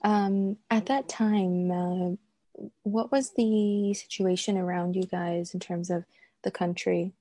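A woman's voice: fairly low pitch, steady tone, slow, dark tone, no echo. A woman hosting a conversational interview, introducing her guest and asking questions. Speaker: host, interviewer.

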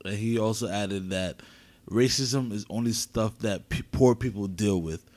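Low-voiced, slangy male podcast co-host. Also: measured pace, a little mellow. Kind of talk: casual sports talk, slangy.

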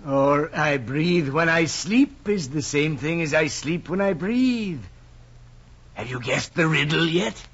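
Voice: mid-range voice, expressive, slow, a bit bright, husky, exaggerated voice. An actor voicing an odd, rude mad tea-party guest who asks riddles, in a dull old film recording.

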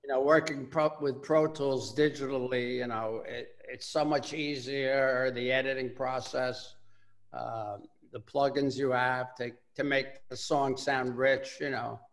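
An older man with a Bronx accent, a guitarist talking about his music in a casual interview, relaxed and rambling with many fillers. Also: medium pitch, unhurried. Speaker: guitarist guest.

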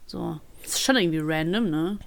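A woman's voice: lowish voice, fairly quick, vocal fry. A young woman from Ukraine chatting casually as a podcast co-host, with playful, slangy banter. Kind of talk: casual chat.

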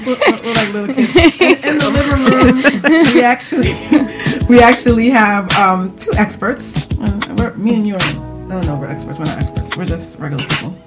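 A woman's voice: a little high, normal speed. Playful woman host over background music. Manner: playful, informal. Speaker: radio show host. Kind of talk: casual chat.

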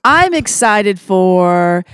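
A woman's voice: mid-range voice, big pitch swings, very slow, clear, resonant tone, dry recording, studio quality. Bubbly woman co-hosting a club podcast. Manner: bubbly, laughing, upbeat promoter. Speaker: comedy club co-host. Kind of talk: casual co-host chat. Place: comedy club.

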